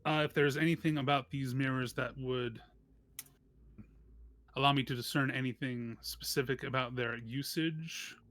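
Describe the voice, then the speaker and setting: A man playing a tabletop roleplaying game, chatting casually in a hesitant, halting way. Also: medium pitch, steady tone, a bit bright.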